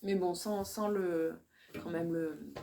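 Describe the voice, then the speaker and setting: A woman poet, talking in a relaxed discussion, often hesitating and restarting as she searches for words. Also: lowish voice, slow, vocal fry, background noise. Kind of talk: casual discussion.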